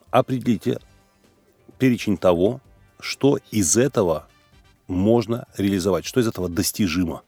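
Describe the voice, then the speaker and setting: A man's voice: on the low side, darkish tone, dry recording. A man, a business coach, answering listener questions on a call-in show in a lecturing way, telling anecdotes with acted dialogue. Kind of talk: live call-in advice talk.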